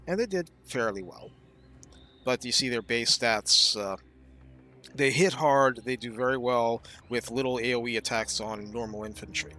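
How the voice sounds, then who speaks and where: Bright-voiced man explaining game strategy. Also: medium pitch, moderate pace, soft background music. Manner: thoughtful, explanatory, with frequent fillers. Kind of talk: casual gameplay commentary.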